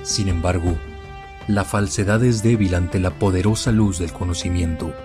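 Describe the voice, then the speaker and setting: A man reads a scripted radio show intro in a low voice. The delivery is dramatic announcer narration, set over clearly audible music. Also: fairly even intonation, normal speed. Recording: voice over prominent music bed.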